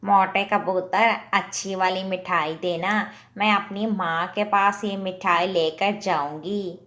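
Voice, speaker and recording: A woman's voice: mid-range voice, fairly even intonation, slow pace, a bit bright. A woman voicing a proud, blunt mother crow in a children's cartoon. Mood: bossy.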